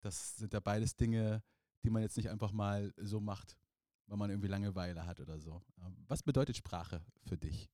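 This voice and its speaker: Very deep-voiced male interviewer. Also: moderate pace, warm tone, no echo, studio quality. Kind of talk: casual interview questions.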